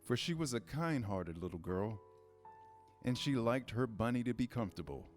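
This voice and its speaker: A man reading scripted storybook narration in a measured, storytelling manner. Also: medium pitch, animated, soft background music.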